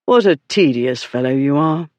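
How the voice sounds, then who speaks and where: A man voicing a calm, haughty aristocratic duke with a cool, low, dryly disdainful voice in an audiobook. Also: no room sound, lightly acted. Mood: cool menace. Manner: dry, unhurried, disdainful.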